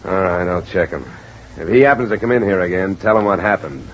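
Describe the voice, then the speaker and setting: A man's voice: low voice, fairly quick. A man playing a tough, wry insurance investigator in an old-time radio detective drama, with a clipped, hard-boiled delivery. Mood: hard, pressing. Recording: noisy, dull old radio recording.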